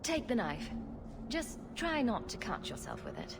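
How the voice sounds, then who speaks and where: A sardonic female rogue talks directly, with a mid-pitched voice and very lively intonation. The video game scene has clear noisy ambience behind her. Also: measured pace. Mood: dry. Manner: sarcastic, direct. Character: wry, sardonic adult female rogue adventurer. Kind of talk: video game cutscene acting.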